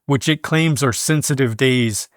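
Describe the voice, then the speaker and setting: A man's voice: mid-range voice, no echo. Man reading scripted news in a dry studio. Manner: measured, formal reading. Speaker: news reader.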